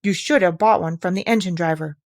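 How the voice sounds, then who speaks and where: A woman's voice: lowish voice, fairly quick, dry recording, studio quality, close to an everyday voice. A woman voicing an angry, stern male railway guard in a storybook reading. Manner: stern, reproachful.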